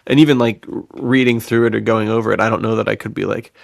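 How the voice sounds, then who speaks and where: A man's voice: fairly low pitch, fairly even intonation, fast talker, gravelly, no echo, clean studio sound. A man hosting a podcast in casual chat, enthusiastic, effusive and slangy. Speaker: podcast host.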